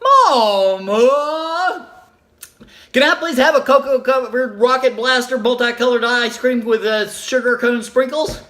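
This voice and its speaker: A woman's voice: fairly high pitch, fairly bright tone, voice pushed up. A woman voicing a whiny little girl who drags out her pleas for treats. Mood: pleading. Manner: pleading, drawn-out begging.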